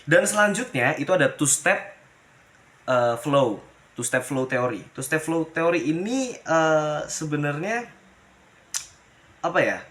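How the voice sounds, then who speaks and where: A man's voice: fairly high pitch, lively intonation, a little slow, pauses often, fairly bright tone. A young man from Java, giving a casual class presentation, chatty, calling the viewers "guys" and mixing in English terms. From Pemalang, Central Java, Indonesia. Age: young adult. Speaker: university student presenter.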